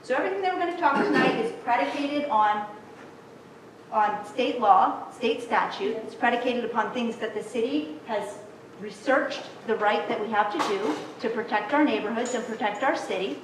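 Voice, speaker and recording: A woman council member reading a prepared statement in a formal public meeting, firm and measured. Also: fairly high pitch, far from the mic, noisy background. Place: city council chamber. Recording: echoey council chamber, off-mic.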